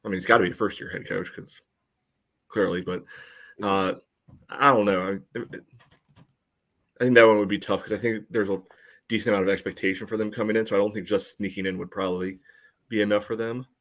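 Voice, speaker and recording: A man with a low-pitched voice talks sport as a podcast panelist. He is informal and measured, hedging as he reasons his way through each point. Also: fairly even intonation, fairly bright tone. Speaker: podcast panelist giving analysis. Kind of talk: casual sports analysis.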